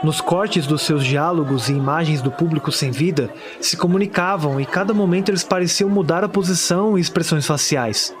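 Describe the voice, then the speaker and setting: A man's voice: on the high side, brisk, hardly any pauses, crowd noise, noisy background, voice pushed up, natural voice. A man with a Brazilian accent playing a terrified witness who recounts a horror story in the first person, dramatic and anguished. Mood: tense dread.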